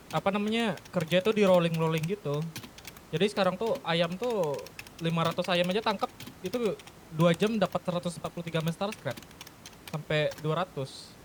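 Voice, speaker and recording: Male gamer, Indonesian accent, lightly raised pitch, informal explainer, faint hiss and key clicks. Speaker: gaming group member in an online roleplay session. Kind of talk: casual explaining to fellow gamers.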